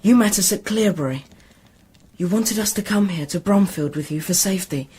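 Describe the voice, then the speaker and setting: Husky, earnest young boy. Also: fairly low pitch, normal speed. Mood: gentle, careful. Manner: gentle, urgent, pleading. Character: earnest, pleading young boy, frightened but caring. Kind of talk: period radio drama acting.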